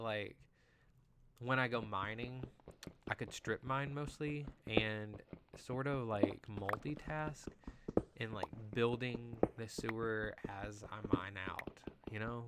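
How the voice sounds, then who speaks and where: A man with a nasal voice, just getting over an illness, chatting excitably through casual live gameplay commentary as a game streamer. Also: fairly low pitch. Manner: chatty and excitable, lots of "like" and "you know". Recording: loud background noise.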